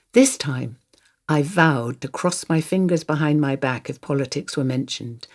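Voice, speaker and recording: An older woman with a low voice performs a dramatic monologue. She tells it like conversation, confiding and wry. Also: darkish tone. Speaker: reader of a radio play monologue. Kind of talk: dramatic first-person monologue, conversational storytelling.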